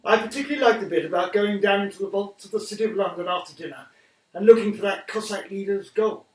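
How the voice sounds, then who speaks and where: A courteous, wry British statesman in a radio play, played by a man, speaking in a fairly high voice. His manner stays polished and drily teasing, and the sound is distant and roomy. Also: quick pace, close to an everyday voice. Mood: polite, wry.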